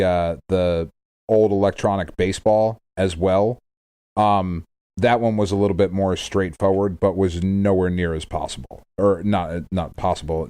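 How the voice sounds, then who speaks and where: A man's voice: sits low, mellow, dry recording. A man co-hosting a podcast in a casual, conversational chat. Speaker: podcast co-host. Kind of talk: casual chat about video games.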